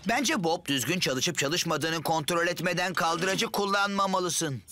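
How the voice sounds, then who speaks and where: A man's voice: high-pitched, measured pace, noisy background. A man voicing a cautious, worrying construction vehicle in a children's cartoon. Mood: worried. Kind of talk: animated children's cartoon dubbing.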